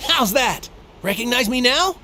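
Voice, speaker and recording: A woman's voice: fairly high pitch, animated, measured pace, pushed and bright, slight background noise. A woman voicing a cheerful, naive young male martial artist in an anime game. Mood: earnest, cheerful. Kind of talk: English anime game voice acting.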